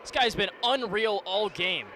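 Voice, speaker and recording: A man with a high voice works as color commentator at a live lacrosse game. He explains and analyzes the play, with clear ambient noise around him. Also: a little slow, bright, forceful tone.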